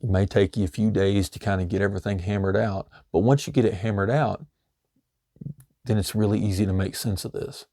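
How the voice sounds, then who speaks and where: Low, mellow-voiced man, slightly hoarse. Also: fairly even intonation, a little fast, no room sound, clean studio sound. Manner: loose and conversational, many "you know" fillers. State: slightly hoarse, losing his voice. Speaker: homesteading channel host. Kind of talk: casual instructional talk.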